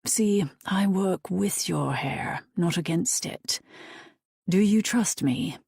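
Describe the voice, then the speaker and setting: A woman's voice: fairly low pitch, slow pace, vocal fry, darkish tone, breathy edge, dry recording, studio quality, voice pushed up, full character voice. Woman playing a cheeky British male stylist. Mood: teasing. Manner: teasing, camp and theatrical.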